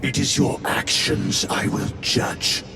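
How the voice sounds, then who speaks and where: A man's voice: takes it slowly, vocal fry. An actor playing a severe male warrior chaplain who makes cold, admonishing pronouncements. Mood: stern. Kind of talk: game cutscene dialogue. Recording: echoey game scene with rumbling noise.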